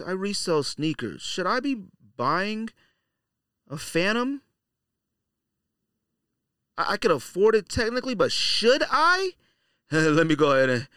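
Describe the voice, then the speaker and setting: A man's voice: medium pitch, fairly bright tone, dry recording. Guy doing a solo podcast rant, slangy and blunt, repeats himself to hammer it home, really dry sound. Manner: blunt, slangy, emphatic, repeats phrases for effect. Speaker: podcast host. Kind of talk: casual opinionated podcast monologue.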